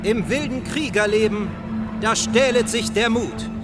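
A man's voice: sits high, a little slow. A man in a radio play declaiming as a fervent, war-glorifying Prussian militarist. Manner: declaiming, exclamatory. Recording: very loud music behind.

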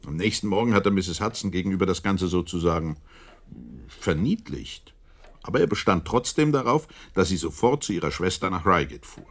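An actor playing a sceptical, slightly exasperated Victorian doctor in a German radio play, husky and deliberate in his dry delivery. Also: sits low, animated. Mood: concerned, sceptical. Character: Holmes's loyal doctor friend, Victorian, sceptical, a little exasperated.

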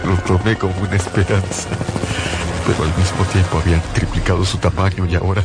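A man acting in a radio novel as a shaken father fleeing an invasion, narrating in a halting, haunted way over music. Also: deep voice, level tone. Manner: halting, haunted, hesitant delivery with repeated words. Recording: loud music behind radio drama narration. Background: loud dramatic music.

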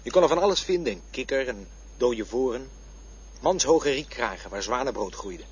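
An actor in a radio drama playing a man who vividly recalls his boyhood by the river, with a husky voice. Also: medium pitch, lively intonation, moderate pace. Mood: wistful. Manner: vivid storytelling. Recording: dull lo-fi radio play recording.

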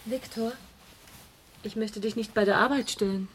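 An actress playing a caring, quietly resolute young woman in a radio drama, gentle, earnest and encouraging. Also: on the high side, fairly even intonation, normal speed, husky. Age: young adult.

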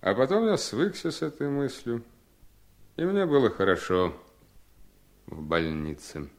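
An actor playing a weary, ailing husband in a radio play, thoughtful, courteous and quietly firm. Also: male voice, mid-range voice, sing-song, a little slow. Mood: reflective. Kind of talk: 1970s Soviet radio play acting.

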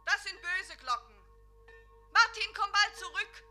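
A woman's voice: extremely high pitch, expressive, measured pace, pushed and bright, faint music behind, full character voice. A woman in a German radio play acting an anxious, disapproving pastor's wife. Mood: worried, disapproving.

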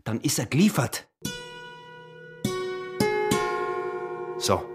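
An actor playing a greedy, scheming smuggler husband in a radio drama, with a husky voice, an Austrian accent and a muttering, wheedling manner. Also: male voice, mid-range voice, sing-song, a little fast, over loud music, natural voice. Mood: scheming, uneasy.

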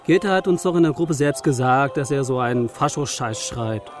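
A man's voice: mid-range voice, lively intonation, normal speed, natural voice. An actor playing a brash young male activist in a radio crime play, slangy and confrontational. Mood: hostile. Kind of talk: German radio play dialogue. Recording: music behind the voice.

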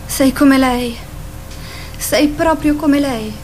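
A woman playing a tender, wistful mother in a radio drama, speaking in a gentle, reflective way. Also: high-pitched, very slow, a little hiss or hum, poor audio. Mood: gently urging. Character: wistful, tender adult mother of a young boy. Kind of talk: theatrical radio drama acting.

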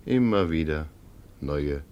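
Deep-voiced solemn mourner. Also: male voice, lively intonation, dull audio, background noise, heavily acted. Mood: solemn, elegiac. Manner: measured, elegiac. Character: solemn, loyal man eulogising a salesman friend. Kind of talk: 1950s German radio drama acting.

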